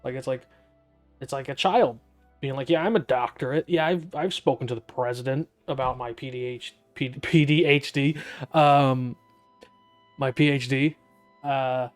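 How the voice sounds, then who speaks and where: A man's voice: medium pitch, fairly even intonation, normal speed, faint music behind. A man giving casual, sceptical commentary as he plays through a video game, with wry asides. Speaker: gaming streamer.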